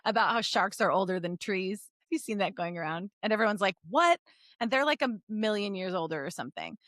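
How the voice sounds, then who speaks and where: A woman's voice: mid-range voice, lively intonation, a little fast, fairly bright tone, dry recording, studio quality. A woman podcast co-host reading fan fiction aloud in a giggly, joking way with casual asides.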